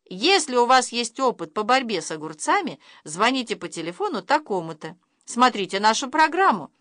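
A woman reading a storybook news bulletin as a slow, formal TV announcer. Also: on the high side, sing-song, fairly bright tone, dry recording, studio quality. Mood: solemn.

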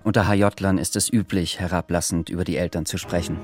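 A man narrating a scripted radio drama in a measured, literary storytelling manner. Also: deep voice, fairly even intonation, over music.

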